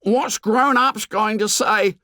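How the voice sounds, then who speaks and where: A man voicing a shrill, anxious, plump schoolboy with glasses in an audiobook, pleading and nagging in a squeaky voice. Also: slow, sharp tone, no echo, clean studio sound, full character voice. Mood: fretful.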